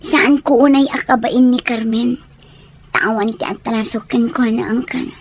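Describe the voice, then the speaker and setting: A young Filipino daughter in a radio drama , played by a woman, speaking in a very high voice. She is earnest and easily hurt. A little background noise sits under her. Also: steady tone, unhurried, lightly acted. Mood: ashamed, regretful. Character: sincere, wounded young Ilocano daughter. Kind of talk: radio drama acting in Ilocano.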